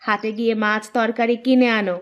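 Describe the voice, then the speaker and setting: A woman playing a nagging, exasperated village housewife in a children's cartoon, scolding and sharp-tongued, on a slightly dull recording. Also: fairly high pitch, level tone, a little fast, slight background noise, lightly acted. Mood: scolding, scornful. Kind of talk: Bengali children's cartoon dubbing.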